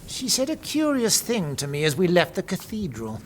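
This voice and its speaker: A man's voice: sits high, wildly swooping pitch, moderate pace, faint music behind. A man playing a shrewd, thoughtful medieval archbishop in an audio drama, speaking formally and probingly. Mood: pensive, grave. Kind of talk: historical audio drama acting.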